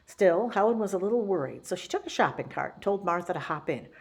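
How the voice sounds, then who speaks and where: A woman reading a children's picture book as a story-time host, with theatrical, deliberate emphasis. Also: lowish voice, expressive. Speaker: library story-time reader.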